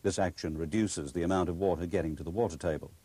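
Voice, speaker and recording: A man reading scripted instructional video narration in a formal, measured explanatory manner. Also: low voice, warm tone, husky, no echo.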